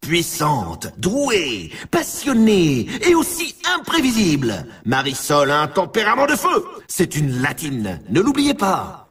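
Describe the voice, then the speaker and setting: An excitable video game sports announcer, pitched fairly high and very animated. He delivers scripted commentary with theatrical grandeur, hyping the competition in sweeping rises and falls. The voice has a husky rasp to it. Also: takes it slowly, recorded from a distance, full character voice. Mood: dramatic introductions. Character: excitable, grandiose male snowboard championship announcer.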